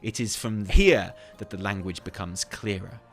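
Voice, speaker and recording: A man's voice: fairly low pitch, moderate pace, pitched below the performer's own voice, close to an everyday voice. A man voicing an obsessive, rambling scholar-explorer in a theatrical, grandiose reading of fantasy game expedition notes. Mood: grandiose musing.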